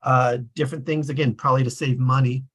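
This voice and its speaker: A man's voice: mid-range voice, level tone, fast talker, a bit bright, breathy edge. A man hosting a casual group discussion, talking in a loose, rambling way that often trails off. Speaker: discussion host.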